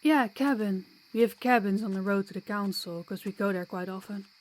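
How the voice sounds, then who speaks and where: A woman's voice: medium pitch, a little fast, lightly acted. Chatty, kind female vampire played by a woman. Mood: friendly, explanatory. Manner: chatty, reassuring, playful. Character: sociable, kind, teasing female vampire guide. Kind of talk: audio roleplay acting.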